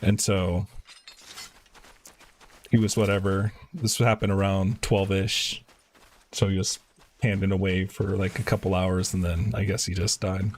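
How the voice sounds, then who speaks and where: A man's voice: sits low, little pitch movement, measured pace, long pauses. A man talking casually and matter-of-factly while live streaming a video game, using slangy everyday speech.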